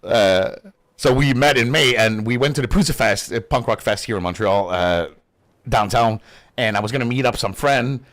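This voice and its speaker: A man's voice: mid-range voice, moderate pace, fairly bright tone. A young man from Quebec whose first language is French, speaking English with a Quebec French accent in a rambling, profane, laughing casual chat while he plays a game. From Quebec, Canada. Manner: rambling, swears a lot, laughs often. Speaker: gaming streamer.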